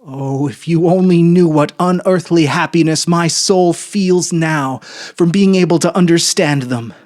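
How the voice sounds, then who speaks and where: A man's voice: a little high, unhurried, a little mellow, lightly acted. A man voicing a lonely, exalted prisoner-scholar in a dramatic story reading, impassioned and declamatory. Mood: exalted fervour.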